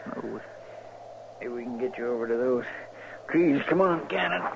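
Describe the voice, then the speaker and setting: An actor playing a loyal, anxious deputy in an old radio western, with a folksy rural American drawl and halting, deferential speech. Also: male voice, medium pitch, vocal fry, natural voice. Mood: grim, subdued. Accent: rural American West drawl. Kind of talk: 1950s American radio drama acting. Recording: noisy, muffled vintage radio recording.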